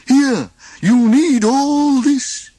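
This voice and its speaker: A man voicing a cheerful, dim-witted, overconfident cartoon mountain guide in a drawn-out, enthusiastic manner. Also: very high-pitched, mellow, dry recording, studio quality, voice pushed up, heavily acted. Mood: eager.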